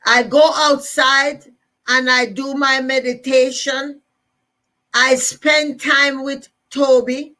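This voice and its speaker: A woman's voice: high-pitched, steady tone, takes it slowly, sharp tone. Emphatic woman with Jamaican accent. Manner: repetitive, emphatic, rallying. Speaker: motivational speaker. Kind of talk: motivational talk.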